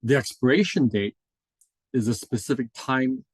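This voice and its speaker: A man's voice: medium pitch, moderate pace, husky, dry recording. A middle-aged American man from Hawaii giving an informal lecture as an instructor, explaining things and often checking in with a short "right?". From Hawaii, United States. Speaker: instructor, experienced options trader. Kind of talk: informal classroom-style lecture with slides.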